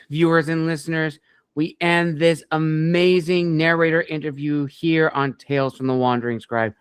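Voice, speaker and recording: A man speaks as an interview show host in a smooth, clean voice that sits a little high. His phrasing is formal and announcer-like. The sound is dry and free of room echo. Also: fairly bright tone. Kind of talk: scripted-sounding show hosting.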